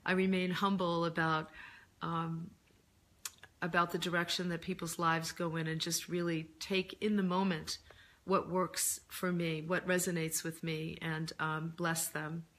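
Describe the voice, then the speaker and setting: A middle-aged woman talks through a casual live chat with her readers, her voice hoarse and lower than usual as she recovers from laryngitis. She answers questions gently and thoughtfully, pausing often with soft ums. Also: little pitch movement. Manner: gentle and reflective, many ums. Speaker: author hosting a live reader Q&A.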